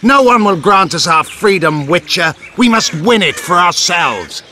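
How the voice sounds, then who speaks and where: An actor playing a proud, scornful elf rebel commander in a video game, taunting and deliberate in his delivery. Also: high-pitched, lively intonation, bright, forceful tone, slight background noise, heavily acted. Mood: defiant.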